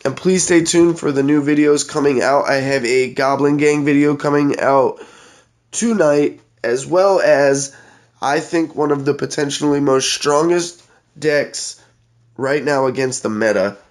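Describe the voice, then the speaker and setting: A man's voice: on the high side, steady tone, measured pace. A congested young man giving chatty play-by-play commentary on his own mobile game match, talking straight to his viewers. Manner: chatty, keeps addressing viewers as guys. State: congested, stuffed-up nose. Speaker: gaming channel host.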